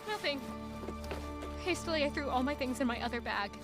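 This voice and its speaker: A woman's voice: high voice, lively intonation, fairly quick, music in the background. An actress playing a haunted, bitter young woman who narrates her own story in an audio drama with dramatic intensity. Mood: subdued.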